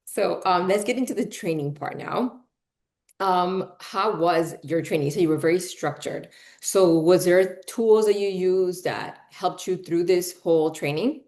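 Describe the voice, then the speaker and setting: Woman host, 40s, from Peru by way of Miami, lowish voice, casual rambling interviewer. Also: unhurried, a little mellow. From Peru, raised in Miami. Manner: chatty, lots of fillers, frequent "right?" and "you know". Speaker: podcast host.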